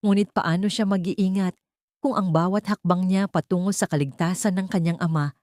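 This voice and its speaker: A woman's voice: fairly low pitch, fairly even intonation, moderate pace, darkish tone, no room sound, clean studio sound. A woman storyteller reading a scripted romantic drama in a dramatic narrating style.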